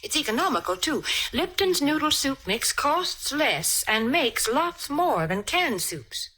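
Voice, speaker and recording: Bright, high-pitched 1940s radio saleswoman. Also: very animated, unhurried. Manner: chirpy, crisply persuasive. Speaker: sponsor announcer and sidekick.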